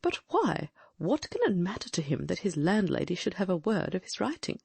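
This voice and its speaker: A woman's voice: fairly low pitch, very animated, creaky, darkish tone, husky, no room sound, full character voice. A woman reading the part of a shrewd, inquisitive middle-aged male detective, asking probing questions as she muses aloud in an audiobook. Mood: musing. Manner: probing, musing, quick questions.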